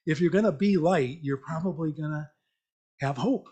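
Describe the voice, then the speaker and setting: A man giving an informal, interactive church message in a conversational, reflective, halting way, often checking in with questions. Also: on the high side, mellow, slightly rough. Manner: invites listeners with questions. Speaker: lay church speaker.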